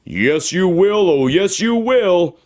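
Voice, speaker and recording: A man's voice: high voice, lively intonation, slow pace, a little mellow, pitched above the performer's own voice. A man voicing a pair of cheerful, bossy magic shoes that give encouragement in a storybook. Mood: encouraging. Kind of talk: storybook character voice.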